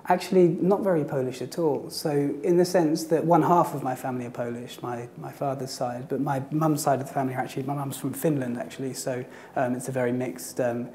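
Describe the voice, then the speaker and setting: A man with a slightly gravelly, hoarse voice, as if still getting over a virus. His tone is mellow and dark, and he speaks at a middling pitch. He answers in a measured, hesitant way, pausing often to collect his thoughts. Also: fairly even intonation, slight background noise. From England. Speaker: guest, historian and blog co-editor. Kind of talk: casual interview answers. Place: temporary studio in an office building.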